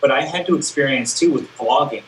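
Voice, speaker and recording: A man's voice: medium pitch, brisk, fairly bright tone, echoey room, recorded from a distance, slight background noise, rough recording. A man hosting a casual interview show, rambling through his questions with self-deprecating asides.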